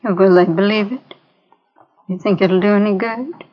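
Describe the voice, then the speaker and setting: Woman voicing a gentle supportive mother, soft replies, muffled old broadcast. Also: mid-range voice, steady tone, moderate pace, natural voice. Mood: encouraging. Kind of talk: 1950s radio drama acting. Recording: muffled 1950s radio broadcast.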